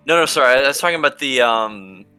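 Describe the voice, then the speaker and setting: A man playing a tabletop roleplaying game, chatting casually in a halting way and often repeating himself. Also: medium pitch, expressive, pushed and bright, clear, resonant tone. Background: music.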